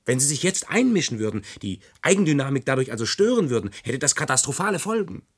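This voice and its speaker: A man's voice: mid-range voice, sing-song, fast, slightly rough. An actor playing a sly, persuasive man in a science-fiction radio play, coaxing and mock-reasonable as he explains. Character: sly, persuasive man spinning plausible technical nonsense.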